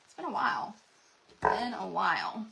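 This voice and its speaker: A woman's voice: medium pitch, sing-song, moderate pace, creaky, bright, forceful tone. A woman, chatting folksily with viewers while demonstrating crafts live from home. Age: 40s. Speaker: craft channel host.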